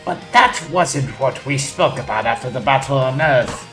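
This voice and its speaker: A woman's voice: extremely low pitch, lively intonation, normal speed, over music. A woman playing a proud, theatrical, loyal robot second-in-command, eloquent and dramatic, in a comic dub with a roomy echo. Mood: boastful, rousing.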